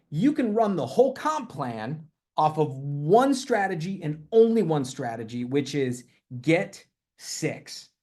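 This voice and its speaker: Male team leader, higher voice, energetic and emphatic, live video call. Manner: upbeat, emphatic, repeats key points. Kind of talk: motivational team call talk.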